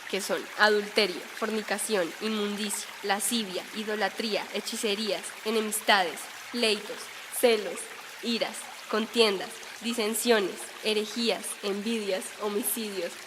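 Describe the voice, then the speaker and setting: A woman's voice: medium pitch, moderate pace. A woman reading scripture aloud from a text. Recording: long reverb, noisy water behind.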